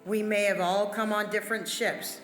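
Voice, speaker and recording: A woman's voice: medium pitch, steady tone, normal speed, fairly bright tone. A woman reading out famous quotations as a church member. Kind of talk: recited quotations. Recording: echoey hall, music underneath. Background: music.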